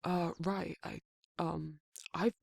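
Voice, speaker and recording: A performer voicing a big, tender male werewolf who is shy and awkward. The voice is very gravelly and breathy, often dropping toward a whisper, and it stammers and rambles. It is recorded close and dry, as intimate romantic ASMR roleplay. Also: mid-range voice, steady tone, slow, no echo, heavily acted. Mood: bashful, nervous. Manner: hesitant, rambling, stammering, bashful.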